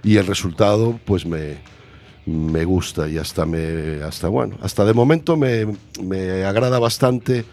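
A man's voice: deep voice, measured pace, a little mellow. A man from Galicia with a Galician Spanish accent, a musician chatting in an interview, hesitant and self-deprecating as he searches for words. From Galicia, Spain. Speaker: musician guest. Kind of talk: casual interview chat.

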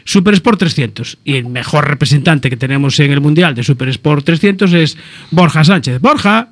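A man's voice: on the high side, lively intonation, normal speed. A man hosting a radio show from the studio, chatting and joking with his guests in a casual way. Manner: jokey, chatty, laughing with guests.

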